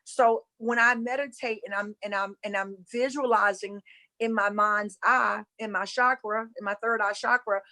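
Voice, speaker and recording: A woman's voice: medium pitch, steady tone, normal speed, pushed and bright, poor audio. A woman from North Carolina with a Southern accent, teaching about spirituality casually and earnestly, repeating phrases for emphasis. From North Carolina, USA.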